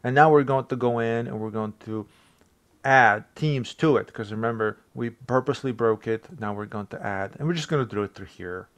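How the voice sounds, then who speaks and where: A man's voice: mid-range voice, moderate pace. Bosnian-accented man rambling through a tutorial. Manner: rambling, self-deprecating, chuckles. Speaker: tech tutorial creator. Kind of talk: casual screen-recorded tutorial.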